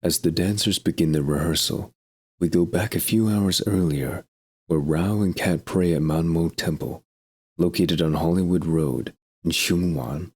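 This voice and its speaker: A man's voice: low-pitched, measured pace, darkish tone, husky. A man narrating a scripted audio drama in the present and past tense as a storyteller.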